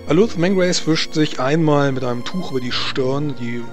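A man's voice: fairly high pitch, normal speed. A man narrating a scene as game master of a tabletop roleplaying game. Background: music.

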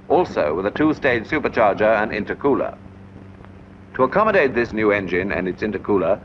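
A man narrates a 1940s military instructional film in a medium-pitched voice. His delivery is measured and explanatory, as if teaching recruits from a script. The old soundtrack is dull, with faint noise under the voice.

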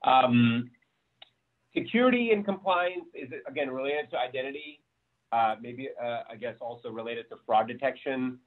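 A man's voice: medium pitch, moderate pace. Man, rambling meetup presenter, lots of fillers, narrow tinny call sound. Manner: loose, rambling, heavy on fillers. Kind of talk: casual technical presentation. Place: home room on a video call. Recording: thin phone-like call audio.

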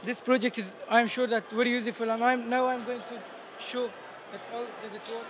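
Very high-pitched young Afghan man, halting English. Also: level tone. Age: teens. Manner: halting non-native English. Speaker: student competition participant. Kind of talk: explaining a project in an interview. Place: busy exhibition booth indoors. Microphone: handheld interviewer microphone. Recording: noisy event hall background.

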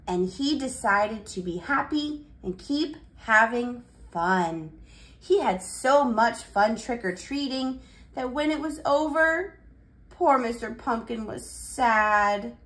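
A woman hosting a read-aloud for toddlers, speaking in a deliberate, sing-song way with simple phrasing. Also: a little high, lively intonation, fairly bright tone. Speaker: children's read-aloud host.

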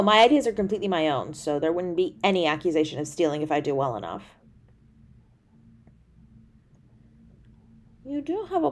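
A woman's voice: mid-range voice, darkish tone, lightly acted. A woman playing a tender, easily flustered young girlfriend in an intimate audio roleplay, speaking in a hesitant, stammering way. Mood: unsure, confiding. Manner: hesitant, stammering, halting delivery.

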